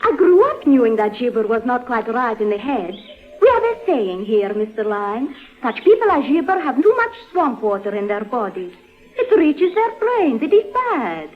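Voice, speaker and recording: High-voiced young girl. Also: sing-song, normal speed. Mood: earnest explaining. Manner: earnest, carefully phrased, unworldly. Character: gentle, naive young Panamanian swamp girl. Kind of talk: 1950s radio drama acting. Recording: tinny, band-limited vintage radio recording.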